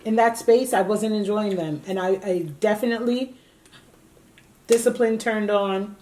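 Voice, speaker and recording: A woman's voice: medium pitch, fairly even intonation, moderate pace, darkish tone, a little hiss or hum. A woman in her late thirties, co-hosting a casual chat and telling her own story candidly and with emphasis. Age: 30s. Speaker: co-host.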